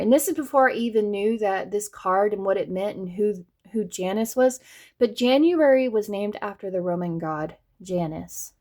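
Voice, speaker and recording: A woman's voice: medium pitch, a little mellow. A woman from the Southern US with a light Southern accent, giving a chatty tarot reading that speaks straight to her viewers. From Southern United States. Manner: chatty, addresses viewers directly, laughs often. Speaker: tarot reader.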